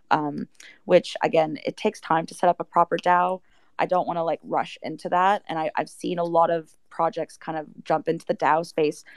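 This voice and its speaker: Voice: medium pitch, no room sound. A guest in a casual radio interview, explaining things in a rambling way with lots of "you know" and "like". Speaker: guest, music NFT project team member.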